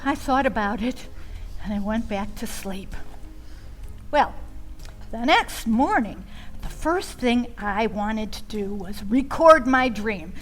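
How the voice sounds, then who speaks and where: High-pitched, animated woman storyteller. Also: soft background music, poor audio. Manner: dramatic, deliberate delivery with pauses and asides. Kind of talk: theatrical first-person storytelling.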